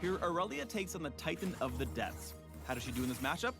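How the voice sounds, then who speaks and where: Animated male game narrator over loud music. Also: on the high side, fast, creaky. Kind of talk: scripted promotional tutorial narration.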